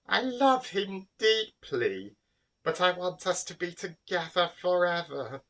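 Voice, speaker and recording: A man's voice: very animated, takes it slowly, fairly bright tone, recorded from a distance, exaggerated voice. A man putting on a grating vampire queen. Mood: gloating. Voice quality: deep and grating. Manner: hissing, cackling. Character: giant female vampire bat queen, cruel.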